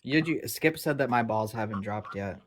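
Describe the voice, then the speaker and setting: A man's voice: fairly low pitch, moderate pace, slight background noise. Wry man chatting on a livestream. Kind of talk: casual livestream chat.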